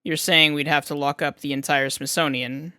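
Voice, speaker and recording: Woman putting on a low gruff man, curt, skeptical, deadpan agency boss, audiobook dialogue. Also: moderate pace, dry recording. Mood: dry, skeptical. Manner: curt, dry, low-key. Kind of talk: fan fiction audiobook character reading.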